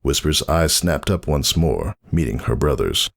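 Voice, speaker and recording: A man with a very low, husky voice narrates fiction. His delivery is expressive, with lively rises and falls, as a storyteller reading from a script. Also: a little slow.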